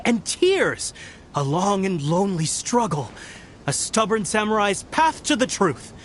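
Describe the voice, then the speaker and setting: A man's voice: sits high, slight background noise. A man playing a proud, melodramatic novelist in a video game, speaking in a pompous, theatrical manner. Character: proud, melodramatic young male novelist selling his books. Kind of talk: video game character acting.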